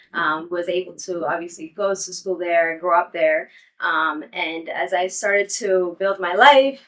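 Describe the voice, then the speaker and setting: A young woman, an English teacher, talking casually in an interview with many ums and soft laughs while walking around her apartment building. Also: on the low side, low-quality recording. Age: young adult. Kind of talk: casual interview and home tour.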